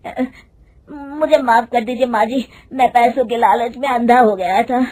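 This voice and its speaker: A woman's voice: on the high side, fairly even intonation, a little slow, darkish tone. A woman voicing a dishonest male manager in a cartoon family story, deferential and ingratiating. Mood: pleading.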